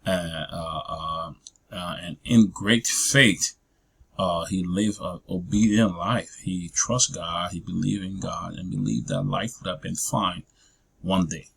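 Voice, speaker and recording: A man, giving a personal testimony talk as a guest speaker, hesitant with many drawn-out fillers and restarts. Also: sits low, steady tone, gravelly, a bit bright, breathy edge, poor audio. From Liberia. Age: 40s.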